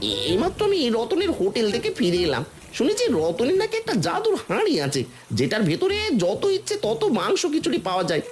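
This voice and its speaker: A man plays a scheming village butcher in a cartoon, loud, greedy and agitated. His pitch leaps all over as he exclaims, with music playing clearly behind him. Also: wildly swooping pitch, a little fast, full character voice. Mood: excited, greedy. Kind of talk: cartoon voice acting.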